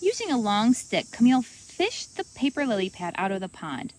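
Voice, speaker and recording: A woman reading a children's storybook aloud as host, in an expressive, animated manner for young listeners. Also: a little high, normal speed.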